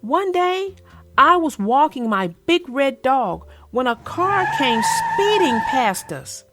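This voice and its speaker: A woman children's book narrator speaking expressively and deliberately, as if to young children. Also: high-pitched, very animated, a bit bright, soft background music. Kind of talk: storybook read-aloud and casual chat.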